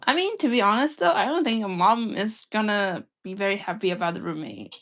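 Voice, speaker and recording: A woman's voice: medium pitch, very animated, brisk, a bit bright. A young woman with a mix of Cantonese and British English accent, chatting casually and giggling, with lots of "like" fillers and trailing sentences. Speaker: livestream co-host. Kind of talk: casual chat with partner.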